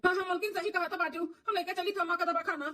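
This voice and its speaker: A woman's voice: extremely high pitch, steady tone, rapid-fire, sharp tone, distant mic. A woman voicing an aggrieved, protective village son and husband in a cartoon family drama, speaking emphatically with repeated phrases in an Awadhi accent. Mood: distressed. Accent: Awadhi, eastern Uttar Pradesh. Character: aggrieved village son, adult man, protective of his wife.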